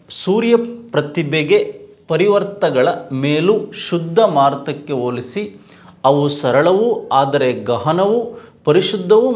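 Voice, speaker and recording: A man's voice: fairly high pitch, darkish tone. A young man, teaching as an exam coaching teacher, walking through quiz questions and answering his own questions. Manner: explanatory, repeats key answers, asks and answers own questions.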